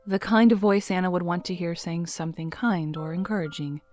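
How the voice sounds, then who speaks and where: A woman narrating an audio drama in a measured, dramatic storytelling manner. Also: lowish voice, a little mellow, faint music behind.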